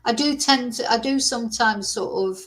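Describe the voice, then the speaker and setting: A woman from Manchester with a softened Mancunian accent, rambling casually as a true crime livestream host at home. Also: a little high, moderate pace. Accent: softened Mancunian English. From Manchester, England. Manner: chatty, rambling, self-deprecating. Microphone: webcam or phone camera.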